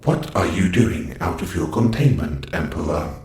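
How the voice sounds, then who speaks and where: Man voicing a stern, deep, echoey robot warden. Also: expressive, moderate pace, darkish tone, slight background noise. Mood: stern, disapproving. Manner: formal, clipped, scolding. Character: stern, formal male-voiced robot prison warden. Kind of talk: audio roleplay acting.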